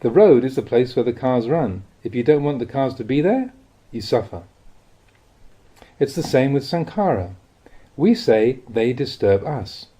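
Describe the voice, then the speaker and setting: A man reading spiritual teachings as scripted narration, in a measured, instructive way with deliberate phrasing. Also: medium pitch, lively intonation, warm tone, slightly rough. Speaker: reader of Buddhist teachings.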